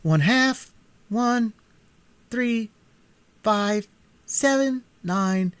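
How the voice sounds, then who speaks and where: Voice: medium pitch, no echo, pitched above the performer's own voice. A reader voicing a sulky, impatient young boy in a storybook. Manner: impatient, quick to complain.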